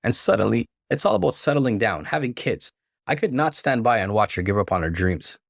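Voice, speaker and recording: A man's voice: fairly low pitch, animated, a little fast, creaky, dry recording, studio quality. A man voicing a jealous, bitter woman, the best friend in a story reading, defensive and emotional.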